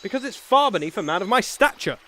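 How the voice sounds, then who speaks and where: Man acting pompous blustering knight, wildly animated. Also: sits high, quick pace, exaggerated voice. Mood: stubborn. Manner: pompous, indignant, haughty. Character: pompous, blustering noble knight, proud and obstinate. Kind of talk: comedy fantasy sketch acting.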